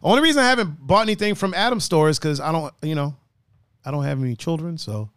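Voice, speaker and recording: A man hosting a relaxed podcast, his voice sitting a little high as he jokes around in slang. The sound is close and dry, with no room around it. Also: quick pace, smooth, no echo. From another country, raised partly on the East Coast. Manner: animated, laughing, slangy and playful. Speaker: podcast host. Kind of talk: casual chat.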